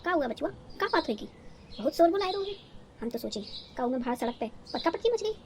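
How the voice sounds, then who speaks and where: A woman's voice: sits high, sing-song, a little fast, a little mellow, slight background noise. Woman voicing a fussy older village mother-in-law. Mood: puzzled. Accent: rural North Indian. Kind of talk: cartoon story dialogue in rustic dialect.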